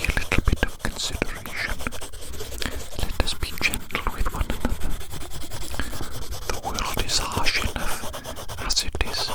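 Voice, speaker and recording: Asmr lady whispering super close, all breath no voice, rambly and repeats phrases. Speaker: ASMR performer. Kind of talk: whispered ASMR roleplay, close-up personal attention. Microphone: very close microphone.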